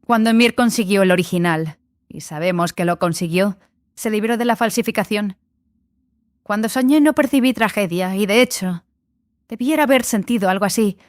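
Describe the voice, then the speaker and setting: A woman's voice: mid-range voice, normal speed, no echo, studio quality, close to an everyday voice. A woman playing a frank, touchy young female sorcery student who sees visions in dreams, defensive and sardonic, in an audiobook drama. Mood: thoughtful.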